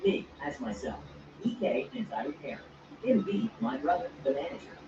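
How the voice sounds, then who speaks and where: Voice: mid-range voice, sing-song, moderate pace. A text-to-speech voice reading online stories aloud, spelling out abbreviations. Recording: distant echoey speaker audio, dull and noisy.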